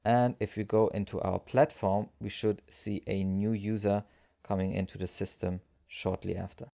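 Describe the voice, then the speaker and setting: A man narrating a software tutorial as its presenter, explaining things step by step. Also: fairly low pitch, little pitch movement, normal speed, gravelly.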